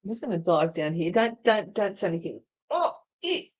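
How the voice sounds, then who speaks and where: A woman's voice: medium pitch, big pitch swings, moderate pace, highs cut off. An Australian woman co-hosting a live show in casual, easily sidetracked, giggly banter. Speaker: co-host of a paranormal live show.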